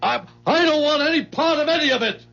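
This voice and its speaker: A man's voice: extremely high pitch, expressive, fairly quick, creaky, pushed and bright, full character voice. An actor playing an angry, suspicious man who bullies and sneers impatiently in a 1970s radio drama. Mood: rattled, defiant. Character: angry, bullying, suspicious male accuser. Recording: dull 1970s radio recording with music.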